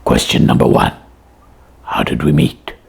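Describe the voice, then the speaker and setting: A performer voicing a boastful, bumbling puppet doctor detective in a comic puppet show, pompous and very raspy. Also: measured pace, natural voice. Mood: self-important. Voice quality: very raspy, gravelly, husky.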